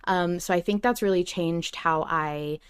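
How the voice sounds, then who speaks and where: A woman's voice: on the low side, unhurried, smooth, dry recording, studio quality. A woman podcast co-host chatting casually and analytically as a fan, in an effusive, emphatic way.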